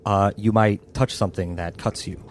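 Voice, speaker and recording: A man running a tabletop game as game master, narrating scenes in a measured, descriptive way and refereeing the rules. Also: on the low side, steady tone.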